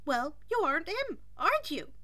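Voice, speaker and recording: A woman voicing a nervous, excitable teenage boy student who stammers and apologizes, in an audiobook style reading of fan fiction. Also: extremely high pitch, normal speed, pitched above the performer's own voice, exaggerated voice. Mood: cheeky.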